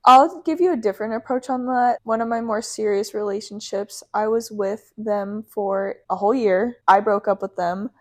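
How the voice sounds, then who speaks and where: A woman's voice: mid-range voice, normal speed, hardly any pauses. A young woman with a soothing voice, co-hosting a casual podcast chat, agreeable and sharing her own opinions. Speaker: podcast co-host.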